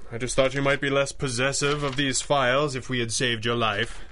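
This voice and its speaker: An actor plays a masked pulp hero from a 1930s radio serial. His mid-pitched voice is bright and forceful. He speaks formally, suave and unflappable, with an arch, dry edge. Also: steady tone, a little fast, slight background noise, lightly acted. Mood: dry, probing. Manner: formal, dryly menacing, arch.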